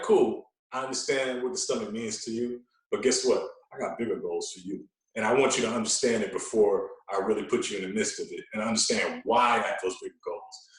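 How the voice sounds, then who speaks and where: A man's voice: on the low side, distant mic. A man talking as a guest fitness coach on a podcast, explaining at length with emphatic rhetorical check-ins. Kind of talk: podcast conversation. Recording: very echoey room.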